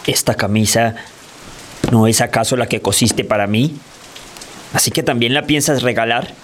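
A man's voice: mid-range voice, steady tone, normal speed, lightly acted. A man playing an irritable, wary husband, a provincial schoolteacher, in a radio play, speaking in a stern, reproachful way. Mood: angry. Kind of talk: radio theatre acting. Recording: noisy, rain and thunder behind.

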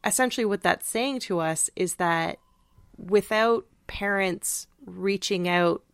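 A woman co-hosting a podcast, discussing a story casually with thoughtful, measured phrasing. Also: medium pitch, clear, resonant tone, no echo. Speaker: podcast co-host. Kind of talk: casual literary discussion chat.

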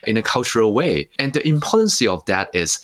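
A man's voice: medium pitch, sing-song, brisk, fairly bright tone. A man from Hong Kong whose first language is Cantonese, a life coach speaking as a podcast guest in a reflective, storytelling way. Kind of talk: podcast interview.

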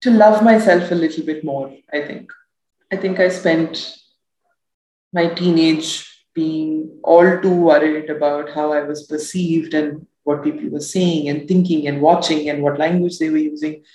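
Deep-voiced woman, reflective and candid. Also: fairly even intonation, a little slow, mellow, roomy sound, low-quality recording. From South Asia. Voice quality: deep, low voice. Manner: reflective, thoughtful, laughs easily. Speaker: podcast guest. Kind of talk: candid conversational interview.

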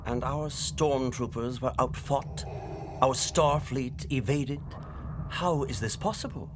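An actor playing a cold, ruthless Imperial commander with clipped, menacing authority in a space-opera radio drama. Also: male voice, medium pitch, slow, natural voice. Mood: indignant. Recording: radio drama over clear background noise.

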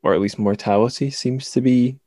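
A man hosting a conversational interview, musing in a reflective, tentative and measured way. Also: medium pitch, warm tone, no room sound, studio quality. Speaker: podcast host, interviewer.

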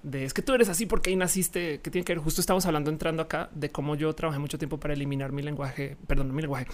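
A woman's voice: deep voice, steady tone, very fast. A young woman from Colombia, a YouTuber guest, speaking frankly in a casual interview and slipping into acted quotes.

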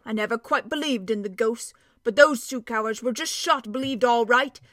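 A woman voicing a cheerful, stocky male dwarf with a hearty, emphatic, drawn-out way of talking. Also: on the high side, a bit bright, no room sound, clean studio sound, close to an everyday voice.

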